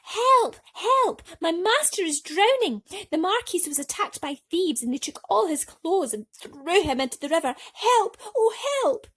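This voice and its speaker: A woman voicing a sly, courteous and bossy male cat servant in a storybook, smooth-talking and commanding. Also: extremely high pitch, sing-song, a little slow, few pauses, fairly bright tone, voice pushed up, full character voice. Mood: urgent.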